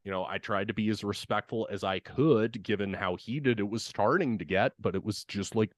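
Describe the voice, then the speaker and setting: A young man with a deep voice, casually telling a work story on a podcast and swearing as he vents. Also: moderate pace, dry recording, studio quality. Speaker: podcast co-host.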